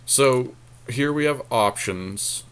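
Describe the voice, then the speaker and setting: A man's voice: mid-range voice, takes it slowly, a bit bright, clear, resonant tone. A man giving casual gameplay commentary as a podcast co-host, thinking aloud through tactical options. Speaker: podcast co-host and card game player.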